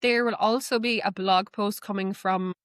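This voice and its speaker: A woman's voice: mid-range voice, steady tone, moderate pace, fairly bright tone, dry recording, studio quality. A woman giving conversational, earnest explanations as an interview guest. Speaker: guest, AI knowledge manager.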